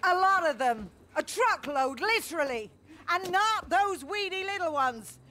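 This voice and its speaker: An old woman, a scolding and self-pitying mother with a high voice. She takes her time and swings widely in pitch, imperious and demanding, in game cutscene style over faint noise. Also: animated, a little slow, bright, forceful tone, full character voice. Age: older. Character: bitter, manipulative old mother, demanding and foul-mouthed. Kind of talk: video game cutscene acting.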